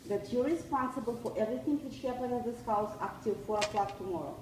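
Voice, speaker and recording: A woman's voice: on the high side, quick pace, darkish tone, reverberant, far from the mic, a little hiss or hum, low-quality recording. A woman from the former Soviet Union, talking in a coaxing, reassuring way.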